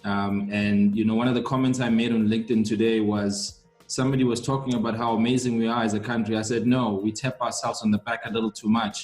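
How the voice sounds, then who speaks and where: A man's voice: lowish voice, little pitch movement, fast, hardly any pauses, faint music behind. South African man hosting an interview. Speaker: host, business coach.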